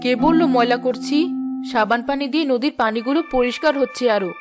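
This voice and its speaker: A woman's voice: fairly high pitch, quick pace, loud music behind the voice, natural voice. A woman playing a nagging, sarcastic village housewife in a cartoon. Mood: scornful. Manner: sarcastic, argumentative. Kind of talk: cartoon voice acting.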